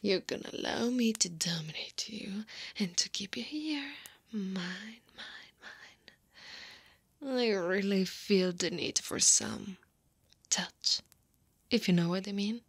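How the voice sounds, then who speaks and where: Woman voicing a slow, breathy, flirty slime monster woman. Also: mid-range voice, lively intonation, no echo, exaggerated voice. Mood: seductive, possessive. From Italy. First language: Italian. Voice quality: breathy, often half-whispered. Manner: slow, playful, teasing. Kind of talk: intimate ASMR roleplay. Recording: clear background ambience noise.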